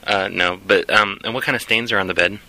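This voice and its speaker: A man making a prank phone call as a hotel worker, deadpan and mock-helpful, sometimes turning indignant. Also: fairly low pitch, fast talker. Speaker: prank caller posing as hotel housekeeping. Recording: noisy phone line.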